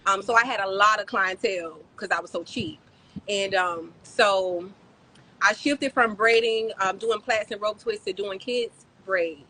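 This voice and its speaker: A woman in her thirties, from the Bahamas and raised in South Florida, telling her story as a podcast guest in a relaxed, chatty way with many "um"s and "like"s. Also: medium pitch, measured pace, poor audio. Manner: warm, chatty storytelling with frequent "um" and "like". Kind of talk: casual interview chat.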